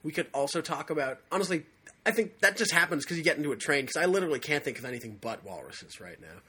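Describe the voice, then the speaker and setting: A man's voice: a little high, animated, fast, sharp tone, husky. A man co-hosting a podcast, riffing wryly in casual comic banter. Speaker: podcast co-host.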